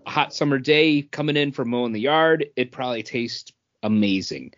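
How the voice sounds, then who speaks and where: An American man co-hosting a podcast, giving casual reviews in a halting way with frequent pauses. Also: mid-range voice, fairly bright tone, muffled recording. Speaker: podcast co-host. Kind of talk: casual chat and beer review.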